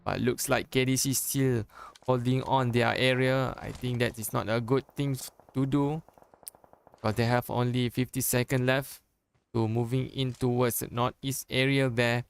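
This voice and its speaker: A man from Malaysia with a Malaysian accent, non-native English, giving halting play-by-play commentary for a mobile battle game, repeating and restarting phrases. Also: mid-range voice, gravelly, smooth. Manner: gets excited during fights. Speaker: esports caster.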